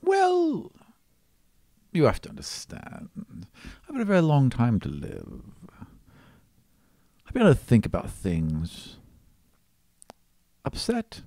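Fantasy tabletop role: a man as an aged cat-creature teacher, servile yet grandiose, animated pitch, halting delivery, close dry sound. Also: dry recording, exaggerated voice. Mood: solemn.

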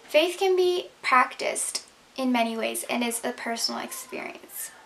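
High-voiced young woman, earnest explainer. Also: lively intonation, unhurried, vocal fry, a bit bright, poor audio. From Canada. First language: Urdu. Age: young adult. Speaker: speaker. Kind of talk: prepared talk to camera. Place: bedroom, indoors.

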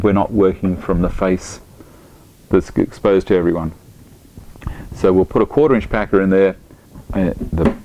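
A man's voice: on the low side, a little mellow. A middle-aged man, chatty and enthusiastic, explaining a woodworking project step by step in his home workshop. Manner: chatty, enthusiastic, talks while working. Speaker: woodworking instructor.

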